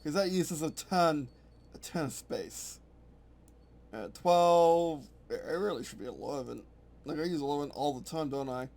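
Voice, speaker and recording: A man's voice: a little high, normal speed, a little mellow. A man casually thinking aloud at his computer as he reworks his game rules, rambling and correcting himself as he goes. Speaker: game designer streaming his work.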